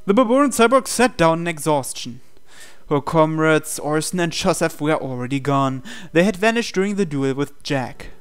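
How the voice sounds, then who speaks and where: Male narrator for a visual novel read-aloud, slightly high register, smooth clean tone, dramatic scripted delivery. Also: expressive, normal speed.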